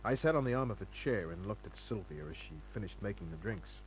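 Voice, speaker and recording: An actor playing a cool, wary private detective in an old-time radio crime drama, speaking in a terse, wry, clipped way. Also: male voice, lowish voice, expressive, quick pace, a little hiss or hum, close to an everyday voice, poor audio. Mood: wry, narrating. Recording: old radio recording with faint music.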